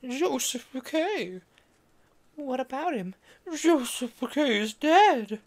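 Reader doing a stout middle-aged mother in hysterics, shrill and loud. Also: big pitch swings, slow pace, exaggerated voice. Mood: shocked.

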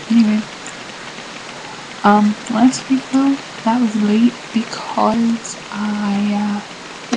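A teenage girl vlogging from her bedroom into a laptop webcam, rambling and apologizing, full of ums and restarts. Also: medium pitch. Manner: apologetic, rambling, many fillers. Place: small bedroom indoors. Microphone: laptop webcam mic. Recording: noisy webcam recording with hiss.

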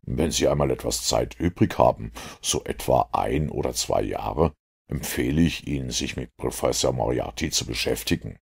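A man voicing a self-assured master detective who lectures in a measured, superior way in an audiobook. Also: a bass voice, creaky, a little mellow, slightly rough, exaggerated voice. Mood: lecturing, assured. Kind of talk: audiobook character dialogue.

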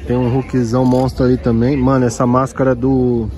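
A man's voice: medium pitch, level tone, normal speed, warm tone. A Brazilian man with a São Paulo accent chatting casually and in slang as a vlogger, walking through an outdoor market. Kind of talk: casual handheld market walkthrough, slangy chat. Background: crowd and street sounds.

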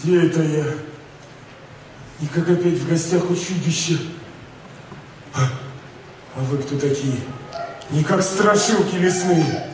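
A man's voice: on the high side, measured pace, pauses often, lightly acted. Actor as querulous merchant father, very echoey stage. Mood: bewildered. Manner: theatrical, querulous. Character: grieving, bewildered merchant father, master of the house. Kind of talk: children's stage play acting. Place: theatre stage. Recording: echoey theatre, distant mic, faint noise.

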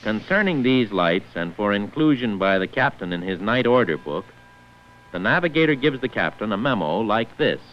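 Low-voiced 1940s training film narrator, man. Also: very animated, normal speed. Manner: formal, precise enunciation of figures. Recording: muffled, noisy 1940s film soundtrack.

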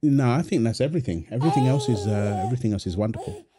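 A man's voice: medium pitch, animated, very fast, a loud crowd, natural voice. A man playing a tender, playful young husband and doting father in an ASMR roleplay, soothing and teasing as he talks. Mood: pleased, chatty. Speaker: voice actor of a roleplay audio. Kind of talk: ASMR boyfriend roleplay, acted one-sided dialogue.

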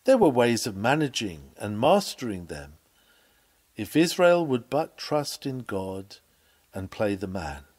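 A man reading an old sermon aloud in a measured, earnest, oratorical preaching delivery. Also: mid-range voice, big pitch swings, a little mellow. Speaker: reader of a historical sermon.

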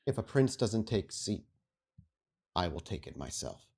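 A man playing a stern, commanding vampire prince in a roleplay game, speaking formally and with measured authority. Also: low voice, sing-song, gravelly, darkish tone, slightly rough, full character voice. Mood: formal, businesslike. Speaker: game master. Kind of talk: tabletop roleplay acting.

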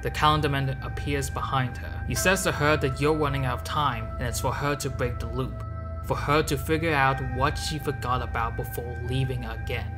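A video essay narrator with a mid-pitched voice speaks over loud background music. The delivery is scripted narration, walking the listener through a game. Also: steady tone, moderate pace.

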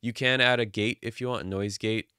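A man in his thirties casually walking through his microphone filter settings from a small quiet home studio, relaxed and jokey. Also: on the low side, a little fast, clear, resonant tone, no room sound. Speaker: streaming audio tutorial host. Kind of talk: casual screen-share tutorial. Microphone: studio dynamic mic on boom arm.

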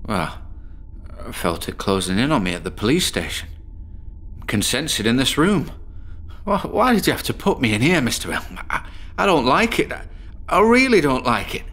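An actor playing a terrified, paranoid male patient in a horror audio drama, speaking haltingly and sliding into panic. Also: mid-range voice, big pitch swings, a bit bright. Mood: fearful. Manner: slow halting speech breaking into panic.

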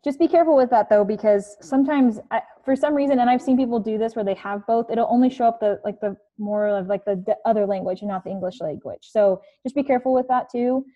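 A woman's voice: on the high side, quick pace, hardly any pauses, warm tone, lo-fi sound. A young woman coaching students on a video call from her living room, chatty with many fillers. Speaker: career coach. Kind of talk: casual online presentation. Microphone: earbud headset on video call.

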